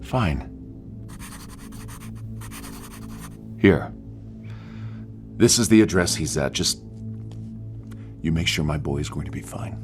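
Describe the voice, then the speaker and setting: A man's voice: very deep voice, lively intonation, measured pace, faint music behind. An actor playing a smug, controlling male suspect in an audio drama, curt and defensive under interrogation. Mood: angry, cornered. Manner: curt, defiant.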